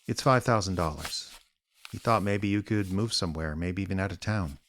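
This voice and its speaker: An actor playing an older, wry private eye with a dry, sardonic, kindly manner in a radio drama. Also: male voice, low-pitched, normal speed, a bit bright, slight background noise. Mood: gentle, earnest. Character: aging wry small-town private eye, gruff but kindly. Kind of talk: radio drama acting with narration.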